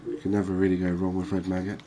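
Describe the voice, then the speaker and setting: A man gives soft ASMR game commentary in a low, very even voice. He takes his time, sounding calm and reassuring. There is faint noise and ambience behind him. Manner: unhurried, calming, reassuring. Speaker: host of a cozy gaming channel.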